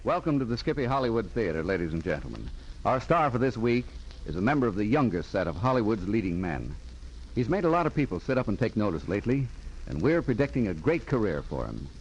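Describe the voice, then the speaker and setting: A man's voice: fairly low pitch, big pitch swings, fast, lo-fi sound, slight background noise. A man hosting a 1940s radio theatre in a courtly, theatrical manner. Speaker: director and host of radio theatre. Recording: vintage 1940s radio transcription.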